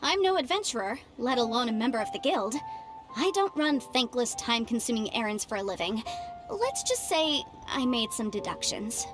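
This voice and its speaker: A shrewd young female legal adviser with a high voice and lively, swooping delivery. She is articulate, formal and sure of herself, like a game heroine. Music plays loudly behind her. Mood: confident, explanatory. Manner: brisk, articulate, formal phrasing. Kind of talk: video game voice acting. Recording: game dialogue under loud background music.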